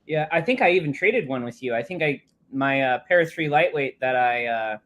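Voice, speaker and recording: A man's voice: mid-range voice, lively intonation, brisk, fairly bright tone. A man talking casually as a guest on a live stream, speaking through swollen cheeks after dental surgery. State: swollen cheeks after wisdom teeth removal. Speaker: guest, knife counter clerk.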